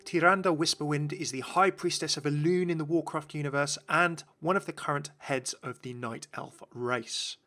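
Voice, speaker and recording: Bright-voiced man narrating. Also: fairly high pitch, steady tone, normal speed, few pauses, faint music behind. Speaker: video host. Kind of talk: scripted explanatory narration on game lore.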